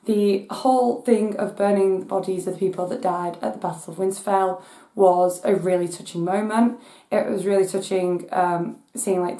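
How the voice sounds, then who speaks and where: A woman hosting her own channel, hoarse from losing her voice, ranting in exasperation as her voice cracks high now and then. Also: mellow, roomy sound. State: hoarse from voice loss. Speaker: channel host. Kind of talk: casual rant vlog.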